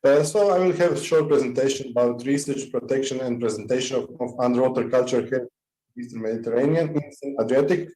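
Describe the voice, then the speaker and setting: A man from Croatia giving an online presentation over a video call, speaking haltingly with many fillers. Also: mid-range voice, warm tone, reverberant, rough recording. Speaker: conference presenter. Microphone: computer mic on a video call.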